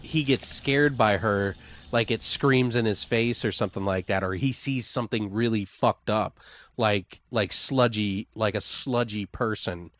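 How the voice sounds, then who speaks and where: A man's voice: lowish voice, unhurried, fairly bright tone, no echo. Man casually riffing, dry close sound. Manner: profane, loose, rambling delivery. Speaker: podcast co-host. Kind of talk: casual improvised story brainstorming.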